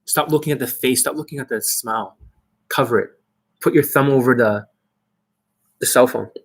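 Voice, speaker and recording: A man's voice: medium pitch, normal speed, breathy edge. A young man and dating coach delivering a blunt, swearing monologue full of rhetorical questions to his viewers. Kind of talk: ranting monologue to camera.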